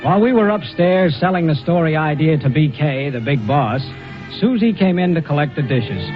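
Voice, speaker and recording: An actor playing a breezy, wisecracking Hollywood screenwriter who narrates in 1940s radio comedy style. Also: male voice, a little high, expressive, moderate pace, music in the background, natural voice. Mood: wry, storytelling. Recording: dull old radio recording.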